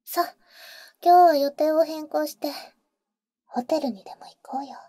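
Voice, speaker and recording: A woman playing a teasing, protective vampire big sister in an ASMR roleplay, speaking in an intimate, soft whisper close to the mic with cool, boyish phrasing. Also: high-pitched, normal speed, dry recording, natural voice. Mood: tender. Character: teasing, protective female vampire, older-sister type to a boy. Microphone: close microphone, dry.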